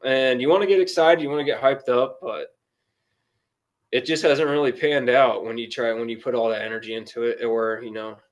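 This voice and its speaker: A man's voice: mid-range voice, steady tone, fairly quick. A man of about thirty-one chatting casually as a guest sports fan, with a rueful, self-deprecating humour. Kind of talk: casual chat.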